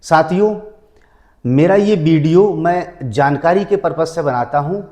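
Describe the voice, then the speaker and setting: A man's voice: a little high, a little slow, dark tone. A man presenting legal advice to viewers in a didactic way, repeating key phrases and numbering his points. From India. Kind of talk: explanatory legal talk to viewers.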